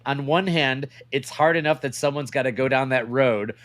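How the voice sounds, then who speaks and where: A man's voice: medium pitch, moderate pace, fairly bright tone. A man co-hosting a podcast in casual, sarcastic banter, needling as he talks. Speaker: podcast co-host.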